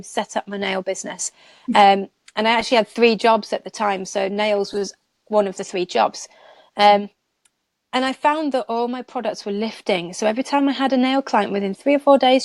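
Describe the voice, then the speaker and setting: A woman's voice: mid-range voice, no room sound. A chatty woman, a nail technician, tells her story in a loose, rambling way with frequent laughs. The sound is dry and close, with almost no room. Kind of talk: casual live chat.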